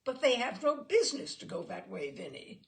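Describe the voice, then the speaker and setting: A woman's voice: a little high, big pitch swings, fairly quick, creaky. A woman voicing a strong-willed, exasperated middle-aged husband in a storybook reading, emphatic and blustering. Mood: indignant, exasperated.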